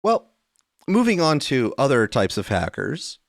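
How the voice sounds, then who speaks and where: A man's voice: mid-range voice, huge pitch swings, a little slow, creaky, no echo, clean studio sound. A man hosting a podcast, chatting and reading news stories aloud. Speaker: podcast host.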